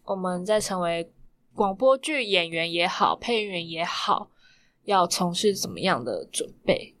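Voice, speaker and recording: A woman's voice: on the low side, big pitch swings, measured pace, fairly bright tone. A woman with a Taiwanese Mandarin accent interviewing in a conversational way, attentively echoing what the guest says. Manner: attentive, echoes the guest's phrases. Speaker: podcast interviewer.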